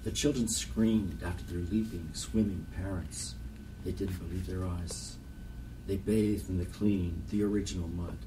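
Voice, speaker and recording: A man's voice: deep voice, fairly even intonation, unhurried. A man reading a literary poem aloud as part of a group reading. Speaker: poetry reader. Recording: distant mic, faint background hiss.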